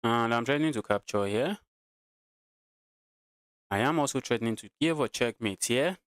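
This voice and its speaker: A man's voice: mid-range voice, lively intonation, moderate pace, no room sound, clean studio sound. A man from Nigeria with a Nigerian accent, giving casual live commentary on his own game as a streamer, thinking aloud, repeating phrases and chatting to viewers. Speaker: chess streamer.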